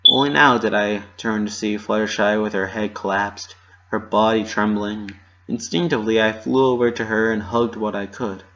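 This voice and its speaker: A man narrates a first-person story in a fairly low, forceful voice. The delivery is that of a dramatic reading, and the recording is dull and lo-fi. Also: fairly even intonation, normal speed, a little hiss or hum. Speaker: amateur fan fiction reader.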